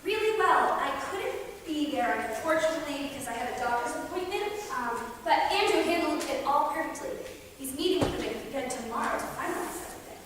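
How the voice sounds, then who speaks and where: A woman's voice: high voice, lively intonation, fairly quick. A woman playing an anxious, frustrated young married woman in a staged play reading, with earnest, emotional delivery. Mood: cheerful, modest. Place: theatre stage, distant microphone. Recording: very echoey hall from far off, noisy.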